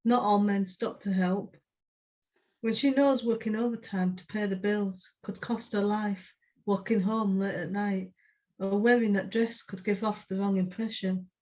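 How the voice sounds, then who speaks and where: A woman's voice: medium pitch, steady tone, unhurried, a little mellow. Female poetry podcast co-host, mid-range voice, plain-spoken delivery for chat and readings.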